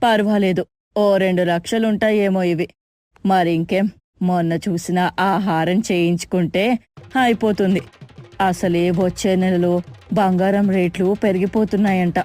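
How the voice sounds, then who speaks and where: A woman's voice: fairly low pitch, fairly even intonation, measured pace, a little mellow, faint music behind, natural voice. Woman acting a wheedling, scheming middle-aged mother-in-law. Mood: gleeful scheming. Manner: wheedling, theatrically aggrieved. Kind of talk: acted moral story voice-over.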